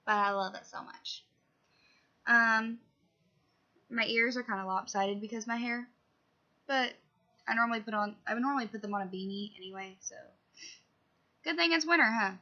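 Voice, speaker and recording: Sniffly young woman with a creaky voice. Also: on the high side, normal speed, long pauses, pushed and bright. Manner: rambling, lots of fillers and asides. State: sniffly from a cold. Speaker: vlogger. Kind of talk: casual update chat to camera. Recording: dull lo-fi audio.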